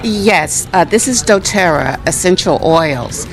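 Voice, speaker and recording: A woman exhibitor giving an informal product pitch during an interview. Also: lively intonation, slow pace, soft background music.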